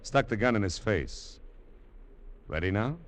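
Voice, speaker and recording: Mocking low-voiced radio villain. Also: male voice, animated, unhurried. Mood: coldly menacing. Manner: sardonic, calling people chum. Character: ruthless, mocking male treasure-hunting villain. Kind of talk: 1940s radio drama acting. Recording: noisy vintage 1940s radio recording.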